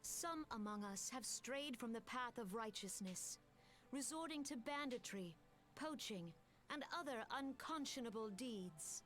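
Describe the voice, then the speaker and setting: A stately woman commander speaks with grave, formal weight. Her voice sits a little high and stays measured throughout. Soft game music plays underneath. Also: expressive. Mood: solemn. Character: solemn woman commander addressing her people. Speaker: supreme military commander. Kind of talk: video game cutscene voice acting.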